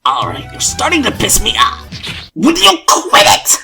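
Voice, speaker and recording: A man's voice: very high voice, wildly swooping pitch, measured pace, vocal fry, over music, exaggerated voice. A man voicing a blustering, peevish male monster villain in a parody audio drama, theatrical and sarcastic. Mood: annoyed.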